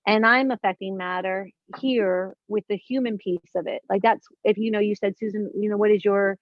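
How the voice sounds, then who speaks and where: A woman's voice: mid-range voice, fairly even intonation, normal speed, no room sound. A woman of fifty in a casual spiritual conversation as a guest, earnest and searching, circling back over her words as she thinks aloud. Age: 50s. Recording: dull lo-fi dry recording.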